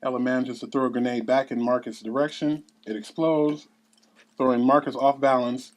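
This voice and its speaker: A man's voice: medium pitch, little pitch movement, moderate pace. A man with a mid-range voice reads stage directions aloud. He delivers scene headings and action as scripted narration at a table read.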